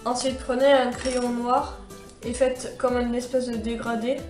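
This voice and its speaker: A woman's voice: on the high side, level tone, unhurried, darkish tone, echoey room, faint music behind. A young woman with a cold and a stuffy nose, chatting her way through a makeup tutorial with many fillers and restarts. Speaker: beauty vlogger.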